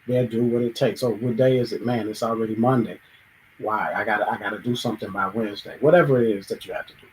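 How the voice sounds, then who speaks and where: A man's voice: on the low side, fairly even intonation, quick pace, a little hiss or hum, rough recording. A man hosting a reflective solo monologue, thinking aloud and acting out little everyday dialogues. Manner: thoughtful, acts out everyday dialogues, sometimes restarts. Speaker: show host.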